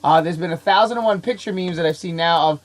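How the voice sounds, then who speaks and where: Sick, excitable male podcast host. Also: on the high side. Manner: excitable, rambling, quick to laugh. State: sick, coughing. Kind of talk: casual chat.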